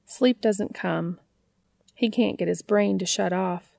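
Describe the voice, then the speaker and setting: A woman's voice: mid-range voice, slow pace, creaky, a little mellow, no room sound, clean studio sound. A woman narrating a piece of written fiction in the style of an audiobook.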